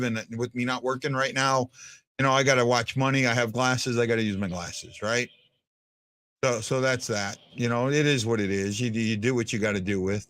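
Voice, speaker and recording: A man's voice: medium pitch, a bit bright, dry recording. A man in his fifties hosting a hockey fan show as a casual livestream chat, blunt and prone to repeating himself. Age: 50s. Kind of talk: casual livestream chat answering viewers' comments.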